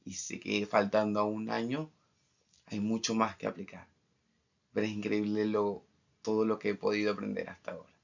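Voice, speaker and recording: A young man from Argentina with an Argentine accent, a student giving a formal final project presentation in measured phrases with pauses. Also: lowish voice, little pitch movement, gravelly. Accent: Argentine Spanish. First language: Spanish. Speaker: international trade student. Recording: dull lo-fi recording.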